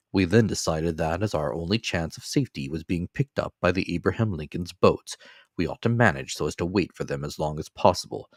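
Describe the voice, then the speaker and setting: A man's voice: low voice, no room sound, studio quality. A man reading classic fiction as a dramatic, scripted audiobook narration. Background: faint music.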